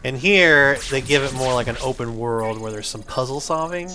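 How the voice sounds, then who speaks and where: A man talks casually over gameplay with clearly audible game music behind him. His voice sits at a middle pitch. He explains things patiently and playfully, as the conversational host of a gaming video. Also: normal speed, sharp tone.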